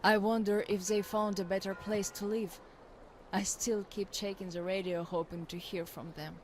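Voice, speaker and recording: A woman's voice: medium pitch, little pitch movement, moderate pace, noisy background, lightly acted. An actress playing a tough young woman telling of her group's past, frank and wistful. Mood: reflective. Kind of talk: in-game monologue.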